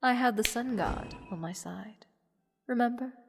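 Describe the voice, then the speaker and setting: A woman voicing a playful, haughty goddess in an intimate fantasy audio roleplay, teasing and condescending toward a captive mortal in measured, theatrical speech. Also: fairly high pitch, vocal fry. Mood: impressed, sly. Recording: long reverb with music behind. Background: orchestral music.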